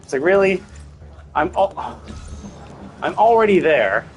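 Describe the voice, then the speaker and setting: A man's voice: high-pitched, big pitch swings, takes it slowly, faint music behind. A man giving rambling, critical live commentary as he plays, repeating himself for emphasis. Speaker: game streamer. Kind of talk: casual live game commentary. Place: live stream, game music behind.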